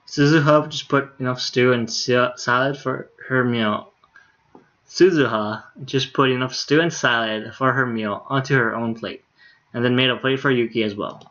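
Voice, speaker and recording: A man's voice: mid-range voice, normal speed, fairly bright tone. A man with a mid-range voice reads a visual novel aloud as a game streamer. His delivery is casual and unpolished, and the stream audio is a little dull and lo-fi. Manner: casual, stumbles over words, swears in asides.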